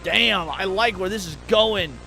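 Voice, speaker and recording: A man's voice: sits high, a little slow, gravelly, bright, forceful tone. A man hosting a livestream, giving casual, profane reaction commentary with muttered asides and some reading aloud. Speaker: livestreamer host. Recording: music behind the voice.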